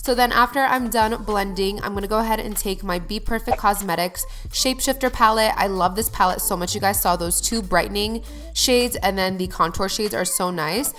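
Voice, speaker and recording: Hey guys girl doing a makeup voiceover, kinda nasal from a cold, chatty, medium pitch. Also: brisk, a bit bright, soft background music. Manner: chatty, upbeat, addresses viewers directly. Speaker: beauty vlogger. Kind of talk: get-ready-with-me voice-over.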